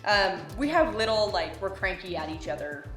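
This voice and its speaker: A woman's voice: medium pitch, big pitch swings, normal speed, faint music behind. Chatty young woman in an echoey room. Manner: soft, chatty, says "like" a lot. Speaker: travel vlogger. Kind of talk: casual chat.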